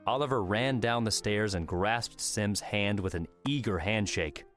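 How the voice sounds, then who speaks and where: Male narrator, scripted novel reading, fairly low register, light music bed. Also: a little slow. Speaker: audiobook narrator. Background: soft music.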